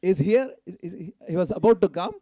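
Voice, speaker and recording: A man's voice: sits high, lively intonation, a little fast, dark tone, no echo, clean studio sound. A man making live fundraising announcements to a community gathering, exhorting and prompting his listeners to respond. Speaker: event announcer and fundraiser at a temple. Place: spoken live to a gathering at a temple event.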